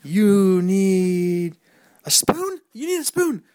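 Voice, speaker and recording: A father narrating a playful family skit, jumping into high, exaggerated questions as he plays along. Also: big pitch swings, takes it slowly, darkish tone, dry recording, studio quality. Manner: jumps into high, exaggerated questions when voicing his lines. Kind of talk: playful home-video narration.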